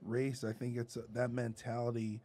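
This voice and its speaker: A man in casual discussion as a podcast co-host, reasoning haltingly and rephrasing as he goes. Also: medium pitch, monotone, mellow.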